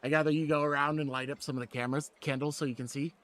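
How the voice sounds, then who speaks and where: Bright-voiced man running a tabletop game. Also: on the high side, flat delivery, rapid-fire. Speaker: game master. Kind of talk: improvised tabletop game narration and banter.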